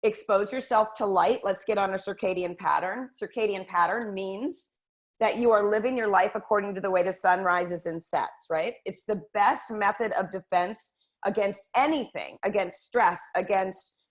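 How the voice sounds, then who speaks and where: A woman's voice: mid-range voice, normal speed. A woman hosting a casual health talk to her viewers from her computer, earnest and direct, often calling them "you guys". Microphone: computer microphone.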